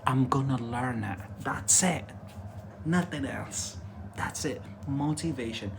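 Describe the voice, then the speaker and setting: A man's voice: medium pitch, slow, gravelly, background noise. Emphatic man teaching English live. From Algeria. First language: Algerian Arabic. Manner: emphatic and repetitive, keeps checking in with "yeah?" and "that's it". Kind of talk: casual live-stream lesson.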